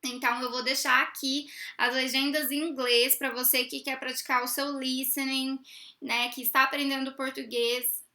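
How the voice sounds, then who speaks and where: A young woman from Brazil hosting a casual interview, chatty and explaining things clearly. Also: high-pitched, flat delivery, moderate pace, pushed and bright. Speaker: host. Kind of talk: casual chat.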